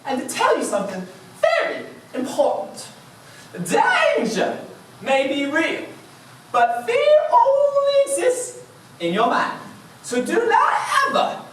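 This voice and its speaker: Man putting on a high scolding giant she-bear, very echoey. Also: wildly swooping pitch, takes it slowly, a bit bright, exaggerated voice. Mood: emphatic, advising. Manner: scolding, emphatic. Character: giant female talking bear, exasperated but wise. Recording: echoey room from far off, faint noise.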